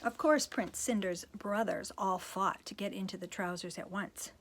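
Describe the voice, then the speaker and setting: A woman reading a silly picture book aloud to children, playful and chuckling. She stops for little asides to her young listeners. Her voice sits in a middle range, with a faint steady hiss underneath. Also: mid-range voice, moderate pace. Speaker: storytime reader, teacher.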